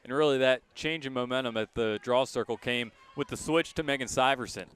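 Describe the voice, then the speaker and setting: A man calling live college lacrosse as a radio play-by-play announcer, in crisp short present-tense phrases, outdoors at a sports field. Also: mid-range voice, fairly quick, a bit bright.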